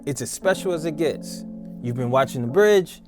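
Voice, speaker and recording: An upbeat man hosts an interview outdoors in a city. His voice sits a little high, with big swings between loud hype and low, easy asides. Faint music plays under him. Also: animated, normal speed. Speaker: show host. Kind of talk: enthusiastic interview hosting.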